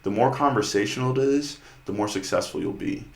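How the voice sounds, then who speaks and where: A man with a deep voice, a podcast guest talking sales in an intense, driving way. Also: lively intonation, brisk, vocal fry, echoey room, a little hiss or hum. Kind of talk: casual sales talk.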